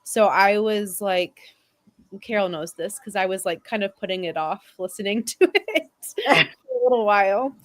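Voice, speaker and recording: A woman's voice: medium pitch, expressive, moderate pace. A woman chatting casually as a panelist and convention staffer. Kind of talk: casual chat.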